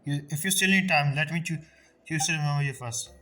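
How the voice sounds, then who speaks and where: A woman's voice: low voice, fast, bright, forceful tone, slight background noise. A woman giving casual, offhand gameplay commentary in short reactions, as a streamer. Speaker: streamer playing a game. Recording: distant mic, game music behind.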